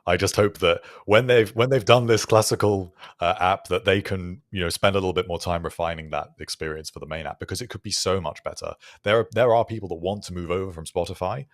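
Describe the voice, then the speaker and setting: A man co-hosting a podcast, thinking aloud in a measured, casual, analytical way. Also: deep voice, dry recording, clean studio sound. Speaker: podcast co-host.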